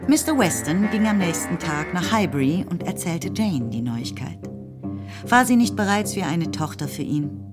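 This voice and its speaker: A woman's voice: fairly low pitch, big pitch swings, normal speed, over music. A woman narrating a radio play in a scripted, literary storytelling style.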